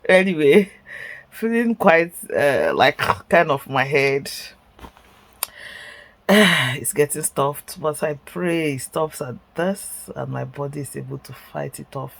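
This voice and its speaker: A woman's voice: low-pitched, expressive, takes it slowly, vocal fry. A middle-aged woman vlogging from bed at home while sick, stuffy and chesty, hesitant with many fillers but staying upbeat. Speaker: vlogger, nurse. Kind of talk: casual story-time vlog.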